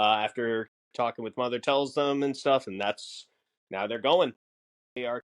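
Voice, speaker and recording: A man co-hosting a podcast, explaining casually in a halting way, piecing his thoughts together. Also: medium pitch. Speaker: podcast co-host.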